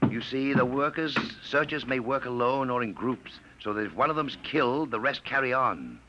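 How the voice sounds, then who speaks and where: An actor playing a smooth, scheming time-traveler villain, persuasive, in a 1950s radio drama. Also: male voice, mid-range voice, moderate pace, natural voice. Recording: dull noisy 1950 radio broadcast.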